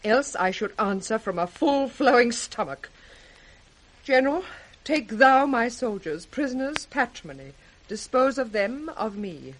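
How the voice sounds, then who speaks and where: A woman's voice: a little high, lively intonation, measured pace, rough recording. An actress playing a proud, passionate noblewoman, haughty and formal, in 1960s radio Shakespeare. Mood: passionate, weakening.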